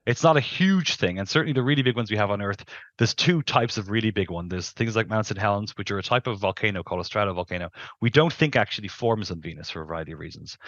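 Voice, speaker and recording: A middle-aged man with a fairly low voice talks as an expert guest, explaining in an informal, emphatic way. He is close on a headset mic in a dry space, and the sound is slightly muffled. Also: expressive, fast talker, a bit bright, no room sound. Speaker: planetary scientist, interview guest. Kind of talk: explanatory interview talk. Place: indoors on a video call.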